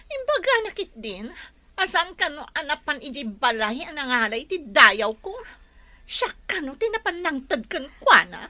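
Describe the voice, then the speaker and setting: A woman's voice: sits high, very animated, moderate pace, pushed and bright, heavily acted. An actress playing a wounded, vengeful young woman in a radio drama, emotional and insistent. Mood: hurt.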